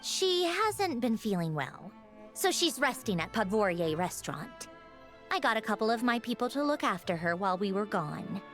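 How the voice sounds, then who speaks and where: A woman voicing a composed, dry-witted female commander in an anime game, measured and knowing. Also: mid-range voice, very animated, fairly bright tone, soft background music. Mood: calm.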